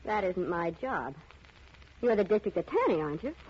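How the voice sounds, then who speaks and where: A woman's voice: lowish voice, big pitch swings, moderate pace, darkish tone, slightly rough. An American actress playing a brassy, defiant, jilted gangster's girlfriend in a 1940s radio drama, slangy and insistent. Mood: insistent, scornful. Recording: muffled, noisy old radio recording.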